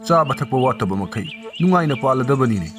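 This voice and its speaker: A man's voice: medium pitch, lively intonation, racing, natural voice. A man voicing a humble but crafty village cobbler in a storybook tale, with a persuasive way of talking. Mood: cheerful, reassuring. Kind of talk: storybook character acting. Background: music.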